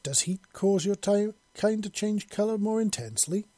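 An imperious bird-woman mistress from an audiobook tale, performed by a man. She speaks formally and precisely, curious yet used to giving orders. The voice is a little rough and mellow, recorded very dry. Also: medium pitch, normal speed, no room sound, lightly acted. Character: imperious, curious female bird-creature mistress, commanding and innocent.

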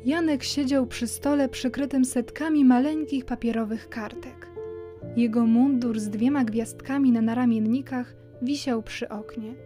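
A woman narrates a children's story from a script. Her voice sits on the high side, and music plays clearly behind her. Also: steady tone, unhurried, mellow.

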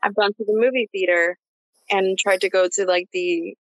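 A woman's voice: medium pitch, fairly even intonation, moderate pace, no echo, muffled recording. A woman in her late twenties hosting a casual chat interview, informal and slangy as she talks. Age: late 20s. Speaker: podcast host, therapist.

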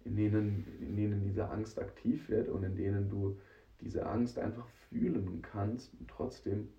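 A man hosting a casual solo podcast, hoarse and congested from a cold, with a rough, scratchy voice, chatting straight to the listener. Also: fairly low pitch, steady tone, measured pace, dark tone, far from the mic, muffled recording. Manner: chatty, addresses listener directly, frequent "ne?". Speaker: podcast host.

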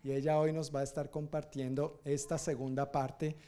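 A man's voice: fairly high pitch, flat delivery, moderate pace, mellow. A man speaking as a pastor giving informal, jokey church announcements to a congregation, often correcting himself.

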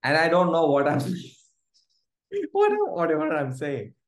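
A man telling a lively personal story as a guest in a casual interview, often laughing as he speaks. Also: on the high side, takes it slowly. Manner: animated, laughing, restarts while telling a story. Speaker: guest, groom-to-be. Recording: roomy echo.

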